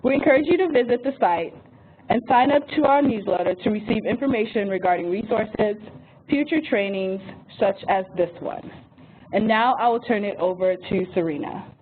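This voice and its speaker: A woman moderating a webinar, reading housekeeping notes and an introduction from a script. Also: mid-range voice. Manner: reads phone number slowly and carefully. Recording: tinny phone-line audio with faint hiss.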